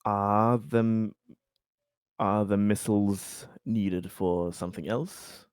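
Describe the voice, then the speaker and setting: A man playing a tabletop game, discussing it casually in a halting, tentative way. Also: on the low side, steady tone, a little mellow, smooth, no room sound, studio quality.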